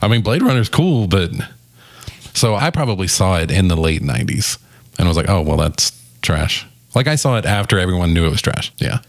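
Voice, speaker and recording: A man's voice: sits low, animated, a little fast. A man co-hosting a casual film review podcast, reacting with wry, sweary quips. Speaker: podcast co-host.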